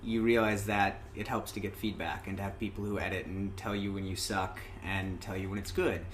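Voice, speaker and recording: Man, interview guest, relaxed storyteller, lowish voice, faint background noise. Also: brisk. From Indiana, USA. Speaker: interview guest, open mic host. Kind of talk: casual interview.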